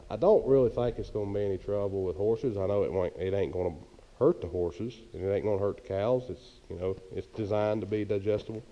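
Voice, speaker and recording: A man's voice: lowish voice, mellow, a little hiss or hum. A man, chatting casually and rambling with many fillers, filmed on a handheld camera in a hay barn. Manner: rambling, easygoing, filler-heavy. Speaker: farmer vlogger. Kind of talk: casual farm vlog. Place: open hay barn, indoors. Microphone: handheld action camera at arm's length.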